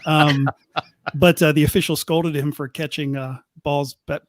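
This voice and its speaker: A man's voice: on the high side, fairly even intonation, moderate pace, slight background noise. A man co-hosting a soccer talk show, chatting casually with frequent restarts. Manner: chatty, restarts sentences, light laughs. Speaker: podcast co-host. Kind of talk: casual chat. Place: press box at the stadium.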